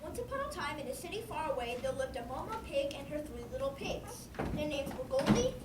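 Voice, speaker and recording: High-pitched girl acting a chatty fairy-tale narrator, young, female, giggly stage storytelling, noisy roomy recording. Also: big pitch swings, fairly quick, far from the mic. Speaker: storyteller in a student stage production. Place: stage in a small venue, recorded from afar.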